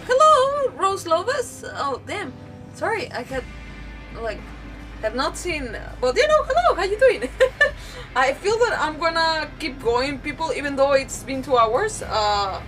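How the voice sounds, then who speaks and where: High-pitched, animated woman livestreaming a game. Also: a little slow, distant mic, poor audio. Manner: playful, self-interrupting, muttering to herself. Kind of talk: casual gameplay commentary. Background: game music.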